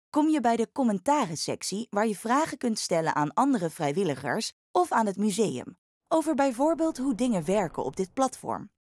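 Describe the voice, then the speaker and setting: A woman narrates an instructional tutorial in a scripted voice-over style. Her mid-pitched voice moves with lively intonation as she explains things step by step. The sound is dry and close, with very little room in it. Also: no echo.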